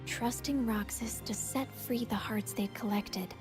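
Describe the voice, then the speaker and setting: A woman reading scripted narration for a video game story recap in a measured, solemn storytelling manner. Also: on the high side, steady tone, over music.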